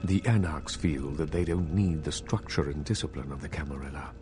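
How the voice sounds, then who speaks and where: An actor playing a courtly, guarded elder vampire in a video game, speaking in a formal, measured, condescending manner. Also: male voice, extremely low pitch, darkish tone, faint music behind. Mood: patronizing. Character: courtly, guarded elder male vampire clan leader, patronizing and calculating. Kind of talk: video game dialogue acting. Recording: faint background ambient noise.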